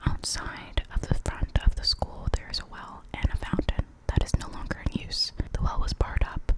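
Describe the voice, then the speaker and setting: An ASMR storyteller reading spooky local legends in a soft, unvoiced whisper, now and then stumbling and correcting themself. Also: poor audio. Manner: soft unvoiced whisper throughout, with small slips and self-corrections.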